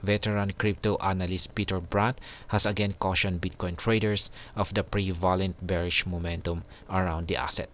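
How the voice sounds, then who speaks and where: Deep-voiced Filipino man explaining news. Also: a bit bright. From Philippines. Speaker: crypto news vlogger. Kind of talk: news explainer, alternating read-out article and commentary.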